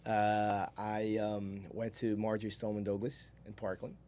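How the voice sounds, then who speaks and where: A man's voice: on the low side, level tone, normal speed, darkish tone, a little hiss or hum. A man, telling his story casually and informally as an interview guest, in a rambling, easygoing way. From Brazil. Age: 20s. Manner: informal, rambling, chuckles while talking. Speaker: podcast guest, solar salesman.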